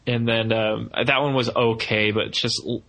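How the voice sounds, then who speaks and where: Bright-voiced young man chatting casually. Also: medium pitch, steady tone, moderate pace, vocal fry. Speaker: podcast co-host, animation student. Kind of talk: casual film review chat.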